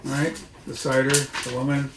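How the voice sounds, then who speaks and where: A man reading a children's book aloud expressively and haltingly, with dramatic pauses and informal asides. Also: medium pitch, monotone. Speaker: storybook reader. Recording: echoey room, noisy.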